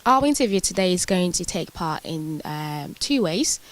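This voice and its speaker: A woman's voice: lowish voice, lively intonation, moderate pace, poor audio. Woman hosting a formal interview. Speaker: interview host. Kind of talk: prepared magazine interview hosting.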